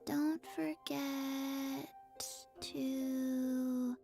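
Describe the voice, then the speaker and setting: A woman voicing a small, forgetful zombie girl in a video game, solemn, halting and deadpan. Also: high voice, gravelly, exaggerated voice. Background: game music.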